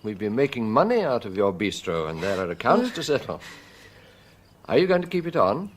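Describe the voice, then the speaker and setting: An actor playing a middle-aged British chief inspector in a radio drama, fatherly and reflective, speaking in a measured, musing way. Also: mid-range voice, sing-song, slight background noise, lightly acted. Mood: gentle, businesslike. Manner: measured, musing, gently probing. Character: veteran male police chief inspector, fatherly, quietly reflective.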